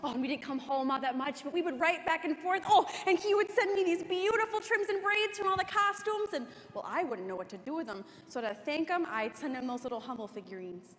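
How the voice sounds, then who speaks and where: A woman's voice: high-pitched, expressive, a little fast, few pauses. A young woman playing a cheerful, prim middle-aged crafter in a dramatic monologue, in an echoey hall. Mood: wistful. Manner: bright, folksy, cheerfully prim. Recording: echoey hall, faint noise.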